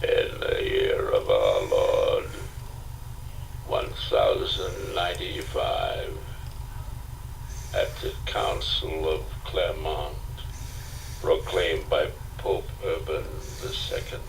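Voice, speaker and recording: A man's voice: extremely low pitch, very animated, extremely slow, gravelly, exaggerated voice. A man voicing an ancient crusader knight, solemn and archaic in his speech, as in a storybook. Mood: stern, proclaiming. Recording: distant, noisy cassette audiobook recording.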